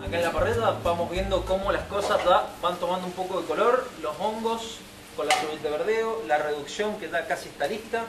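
A man's voice: high-pitched, fairly quick. A man in his thirties with an Argentine accent, explaining steps as a chef in a cooking demonstration. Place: winery kitchen and open-air grill patio. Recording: roomy, distant mic, music behind.

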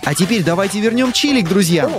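An actor voicing an excitable, friendly narrator of a children's truck cartoon, exclaiming and talking straight to the characters and little kids. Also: medium pitch, fast talker, close to an everyday voice. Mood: cheerful, encouraging. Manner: exclamatory, addresses characters and small children directly. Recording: music behind the voice.

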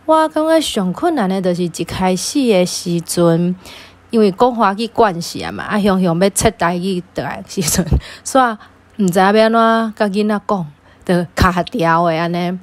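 A woman's voice: medium pitch, lively intonation, normal speed. A woman with a Taiwanese accent, talking casually as a parent being interviewed about personal experience.

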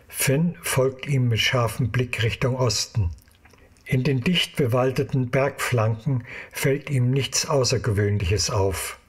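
A man narrates an audio drama as its storyteller. His voice sits at a medium pitch and has a husky edge. The delivery is scripted and narrative.

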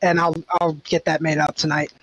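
A man's voice: a little high, little pitch movement, brisk, fairly bright tone, slight background noise, low-quality recording. A man who plays in an online tabletop game, chatting casually with his friends over a voice call.

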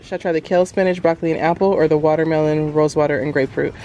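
A woman's voice: deep voice, fairly even intonation, very fast, highs cut off, crowd noise. A woman in her thirties vlogging casually, talking directly and familiarly to her viewers. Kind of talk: casual chatty vlog. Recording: music behind the voice. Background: background music.

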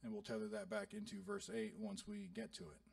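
A male pastor in his thirties giving an animated, joking sermon to his congregation. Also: sits high, fairly quick, creaky, no room sound, distant mic. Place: church sanctuary.

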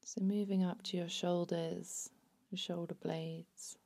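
Slow, soft-toned woman guiding meditation. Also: fairly low pitch, little pitch movement, vocal fry. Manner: soothing, unhurried, hushed at phrase ends. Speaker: meditation guide. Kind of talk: guided body scan meditation narration.

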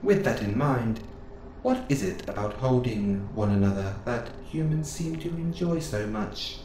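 A man playing a gentle, curious robot assistant who speaks in formal, precise phrases, close and intimate in an audio roleplay. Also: medium pitch, expressive, measured pace, natural voice. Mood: gently curious. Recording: roomy sound with clear background noise.

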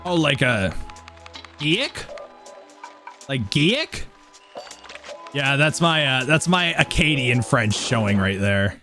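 A man in his thirties talks loosely and playfully into a headset while playing, his pitch bouncing around a lot as he rambles and mutters little nonsense syllables. Game music plays clearly behind him. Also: big pitch swings, takes it slowly, pauses often, bright, forceful tone. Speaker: speedrunner streaming. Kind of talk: casual live-stream chat while playing. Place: home room in front of a webcam.

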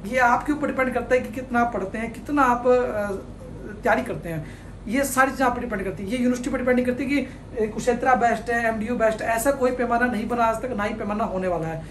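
A man's voice: very high-pitched, slight background noise. A man in his thirties from India, giving study advice straight to camera with a clip-on mic in a small studio. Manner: fast, energetic, gestures with hands while explaining. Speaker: education counselor. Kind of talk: direct-to-camera advice video. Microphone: clip-on lapel mic. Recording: echoey room with faint ambience.

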